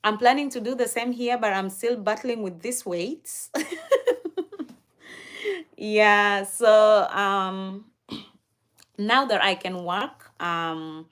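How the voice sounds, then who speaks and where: A Kenyan woman hosting a livestream, chatting casually and answering viewer comments in an unhurried, chatty way. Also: medium pitch. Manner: unhurried, chatty, with fillers and soft laughs. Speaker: livestream host.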